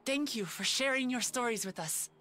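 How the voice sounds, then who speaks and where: Eager, fairly high-voiced young adventurer. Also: female voice, normal speed, pushed and bright, soft background music. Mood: earnest, hopeful. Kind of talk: video game cutscene acting.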